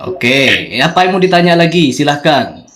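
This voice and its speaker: A man with a fairly high voice and an Indonesian accent argues in a phone discussion. He explains his points assertively. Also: animated, normal speed, fairly bright tone, a little hiss or hum. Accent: Indonesian, non-Sundanese.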